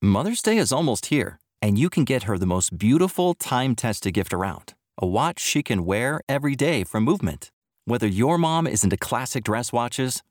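A man's voice: medium pitch, no room sound, studio quality. A man reading a scripted advertisement as an announcer. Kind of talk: scripted ad read.

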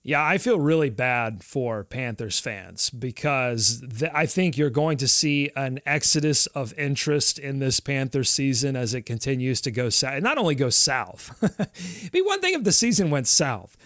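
A man's voice: mid-range voice, lively intonation, moderate pace, a bit bright, no room sound, clean studio sound. A man co-hosting a sports podcast in casual discussion, conversationally thinking aloud as he talks.

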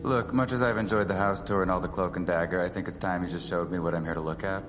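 An actor playing a blunt, wry antiquities appraiser in an audio drama, plain-spoken and defiant. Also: male voice, sits low, steady tone, fast, in a large hall, natural voice.

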